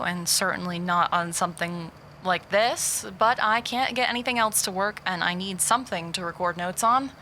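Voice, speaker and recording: A woman's voice: medium pitch, bright, forceful tone, a little hiss or hum. A woman playing a weary, wry private investigator recording a hesitant, dry voice log in an audio drama. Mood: hesitant, uneasy.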